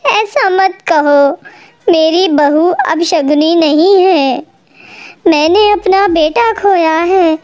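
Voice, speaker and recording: A woman voicing a kind, protective older mother-in-law bird in a cartoon, earnest and consoling. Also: very high voice.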